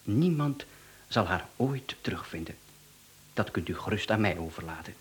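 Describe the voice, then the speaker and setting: An actor playing an unflappable, courteous contract killer and swindler in a radio play, speaking with a Flemish accent and businesslike politeness. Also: male voice, fairly low pitch, lively intonation, moderate pace, a little hiss or hum, lightly acted. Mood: businesslike, reassuring.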